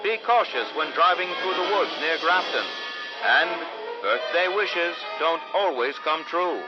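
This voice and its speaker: An actor playing the portentous, theatrical host of a vintage radio horror anthology, narrating from a script. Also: male voice, a little high, expressive, unhurried. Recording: dull vintage radio sound, music behind.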